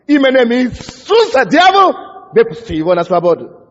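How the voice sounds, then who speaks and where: A man's voice: very high voice, wildly swooping pitch, normal speed. Male pastor, English native speaker, fervent sermon; dull, echoey church-hall recording. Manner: impassioned, shouting prayers, stumbling over words. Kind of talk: fiery sermon.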